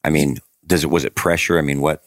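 A man's voice: rumbling low, level tone, fast talker, no room sound, clean studio sound. A man hosting a casual interview, joking and teasing, with hesitant, rambling questions. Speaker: podcast host.

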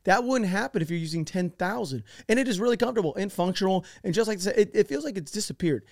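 An American man hosting a podcast, excitable and swearing freely, chatting and doing ad reads. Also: high voice, quick pace, dry recording, clean studio sound. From United States. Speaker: podcast host. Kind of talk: casual chat with ad read.

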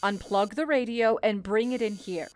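A woman playing a calm, commanding telephone switchboard supervisor in an old-time radio play, with a deliberate, authoritative way of speaking. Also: mid-range voice, close to an everyday voice. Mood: firm, organizing. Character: calm, commanding female telephone operator supervisor in wartime-like crisis.

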